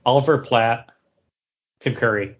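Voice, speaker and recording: A man's voice: mid-range voice, measured pace. A male podcast co-host chats in an unscripted, rambling way. He feels his way through sentences with some hesitation. The recording is somewhat dull and lo-fi. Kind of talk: casual chat, drink review.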